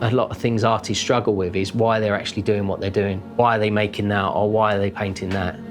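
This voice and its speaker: A man's voice: fairly low pitch, flat delivery, fast, faint music behind. A man with a London accent, a painter speaking reflectively in a documentary interview, informal and thoughtful. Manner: thoughtful, informal, with many "kind of" fillers. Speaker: working-class painter.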